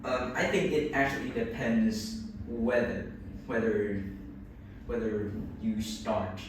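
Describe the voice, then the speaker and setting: A Vietnamese-accented boy in his early teens works through speaking-test answers, pausing and restarting often. He sounds set back from the mic in a very echoey classroom. Steady background noise runs beneath him. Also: medium pitch, recorded from a distance. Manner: hesitant, with many restarts and fillers. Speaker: student taking a speaking test. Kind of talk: answering exam questions.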